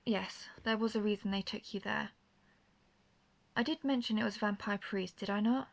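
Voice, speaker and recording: Woman acting an earnest vampire huntress, creaky-voiced. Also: mid-range voice, moderate pace, close to an everyday voice. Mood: grave, revealing. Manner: earnest, formal phrasing, addressing the listener directly. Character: intense, guarded female vampire hunter who is a vampire. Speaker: audio roleplay performer. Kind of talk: scripted first-person roleplay audio drama.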